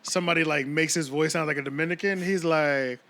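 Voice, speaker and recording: A man hosting a podcast chats casually in an animated, emphatic way. His voice is bright and fairly high for a man. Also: a little fast, gravelly. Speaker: podcast host. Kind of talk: casual chat.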